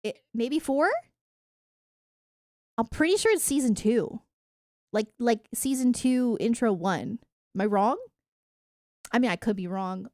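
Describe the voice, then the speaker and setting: A woman chatting casually to viewers on a livestream in a giggly, animated, rambling way. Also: on the high side, dry recording, studio quality. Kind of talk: casual livestream chat about anime and games.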